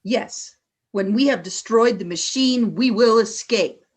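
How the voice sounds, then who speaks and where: A woman's voice: on the high side, measured pace, husky. A woman playing a cold, ruthless alien warrior leader in a sci-fi radio play, terse and commanding.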